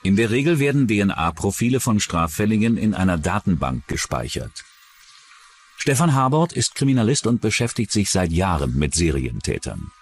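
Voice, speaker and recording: Male true-crime documentary narrator, deep register, animated delivery, scripted read. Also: moderate pace, soft background music.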